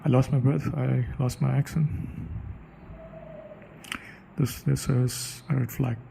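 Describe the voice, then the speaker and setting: A man's voice: medium pitch, little pitch movement, moderate pace, creaky, dark tone, a little hiss or hum, poor audio. A man with an Indian English accent, thinking aloud in a reflective solo monologue, restarting his sentences often. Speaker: podcaster.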